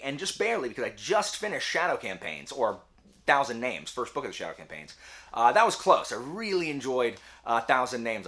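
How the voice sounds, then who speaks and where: A man talking casually as the host of a book review channel, with a slangy, self-deprecating, animated manner. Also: medium pitch. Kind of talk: casual chatty monologue to camera.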